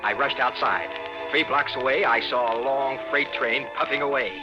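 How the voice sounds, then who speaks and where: A man's voice: medium pitch, moderate pace, loud music behind the voice, natural voice. An actor playing a nervous, stammering, desperate young fiancé in an old-time radio drama, telling his story. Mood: elated. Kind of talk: old-time radio dramatic acting and first-person narration. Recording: dull vintage radio recording.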